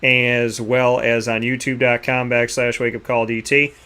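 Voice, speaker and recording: A man hosting a sports radio show, giving rambling, energetic shout-outs and sponsor plugs. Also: medium pitch, a little slow, smooth. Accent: Boston. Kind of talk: enthusiastic sign-off with sponsor plugs.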